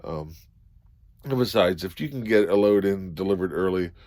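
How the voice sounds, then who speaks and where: A man's voice: sits low, lively intonation, moderate pace, darkish tone, no room sound. A man vlogging casually from inside his cargo van, easygoing and rambling, full of ums and you-knows. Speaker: van expediting driver vlogger. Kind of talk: casual talking-head vlog.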